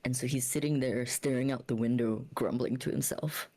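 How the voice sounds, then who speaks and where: A woman's voice: a bass voice, steady tone, normal speed, dark tone. A woman playing a tabletop role-playing game, casually describing what her character does.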